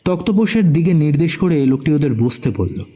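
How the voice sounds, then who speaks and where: A man's voice: a little high, animated, brisk, warm tone. A man giving dramatic scripted narration of a horror story. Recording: background music under the voice.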